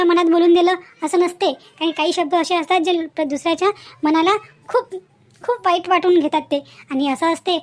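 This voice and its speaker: A woman plays a wise, moralizing cartoon aunt with a very high voice. She talks quickly in a Vidarbha Marathi accent, firmly driving each point home with repeated little tags. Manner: preachy, emphatic, repeats herself with tag words. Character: moralizing village aunt, wise, chatty and firm. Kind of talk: cartoon moral-story dialogue.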